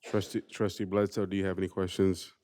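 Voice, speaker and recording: A man chairing a school board meeting, running through the agenda with a formal, steady roll-call cadence. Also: sits low, fast talker.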